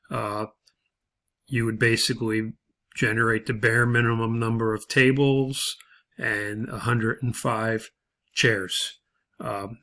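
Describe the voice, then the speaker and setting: A man narrating an unscripted, step-by-step spreadsheet tutorial as an instructor, in a halting way. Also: medium pitch, sharp tone.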